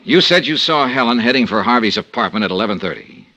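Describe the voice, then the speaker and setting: A man's voice: mid-range voice, expressive, quick pace, pushed and bright. A man in a 1950s radio drama playing a tough insurance investigator who questions a suspect with cool, dry persistence. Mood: pressing. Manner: cool, pressing interrogation with wry asides. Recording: old radio transcription.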